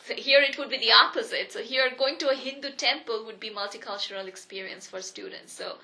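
A woman's voice: fairly high pitch, a little fast, bright, forceful tone. A woman in her thirties from India, speaking, telling her life story earnestly and reflectively in an interview. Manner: earnest, reflective, with frequent restarts. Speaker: interviewee, economics professor. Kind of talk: personal oral history interview.

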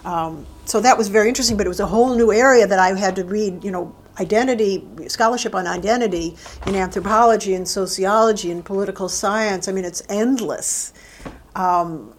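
A middle-aged woman speaks in an interview with a mid-range voice, thinking aloud and often restarting her sentences. She talks about her work plainly and deliberately. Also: mid-range voice, a little hiss or hum. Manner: thoughtful, halting, with frequent restarts and fillers. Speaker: interviewee, university faculty administrator. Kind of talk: oral history interview.

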